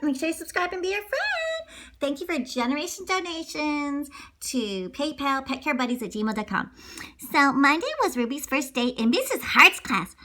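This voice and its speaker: A woman's voice: high voice, sing-song, normal speed, pushed and bright. A woman reading a children's story aloud with playful, theatrical delivery. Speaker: storybook reader. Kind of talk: animated children's read-aloud narration.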